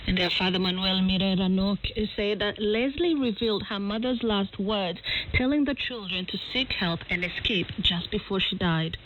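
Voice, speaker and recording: A woman's voice: mid-range voice, moderate pace, hardly any pauses. A woman presenting radio news, reading reports and putting questions, heard over shortwave radio. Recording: narrow-band noisy shortwave radio broadcast.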